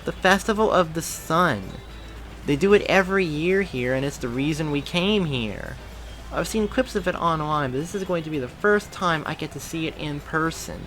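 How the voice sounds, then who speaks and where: A chatty young woman reporter, affectionate and quick to explain things, voiced by a man pushing his pitch up. Her tone is bright and forward, and soft music plays faintly behind her. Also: poor audio. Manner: raised, excited pitch.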